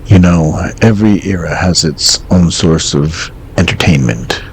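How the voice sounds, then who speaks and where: A man acting as a calm, cryptic late-night radio host in an audio drama, measured, terse and quietly ominous. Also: sits low, slight background noise, low-quality recording. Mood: brooding, ominous.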